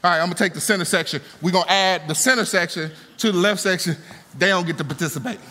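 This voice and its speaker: Male preacher, dynamic sermon delivery, bright and forceful, big reverberant church. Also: fast, slight background noise. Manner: dynamic, swings from soaring to low conversational asides. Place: church auditorium. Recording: long hall reverb.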